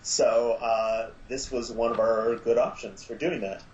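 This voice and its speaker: A man speaking as an interview guest in casual chat, enthusiastic but halting and reflective. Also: medium pitch, steady tone, darkish tone, roomy sound, dull audio, a little hiss or hum. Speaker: podcast guest, audio drama maker.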